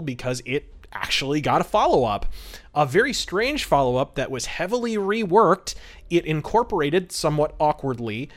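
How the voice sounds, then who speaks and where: A man's voice: on the high side, a bit bright. A man hosting a podcast, giving film review and history commentary in a conversational, opinionated, wryly amused way. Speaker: podcast host.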